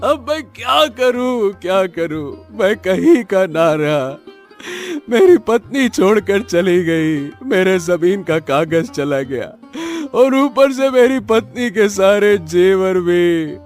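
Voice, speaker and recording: A man plays an honest, helpless young farmer in a cartoon moral tale. The voice is high and unhurried, wailing and pleading with stammered repeats over faint music. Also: animated. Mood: wailing despair. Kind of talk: Hindi cartoon moral story acting.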